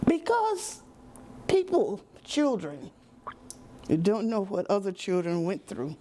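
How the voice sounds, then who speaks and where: A woman's voice: mid-range voice, wildly swooping pitch, takes it slowly, warm tone. A woman talking reflectively and off the cuff. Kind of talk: unscripted reflective talk. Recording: noisy room with background ambience.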